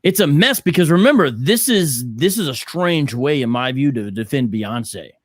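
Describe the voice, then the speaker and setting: A man's voice: fairly high pitch, expressive, normal speed, dry recording, clean studio sound. A man co-hosting a casual podcast discussion, talking skeptically and thinking aloud with frequent restarts. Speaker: podcast co-host.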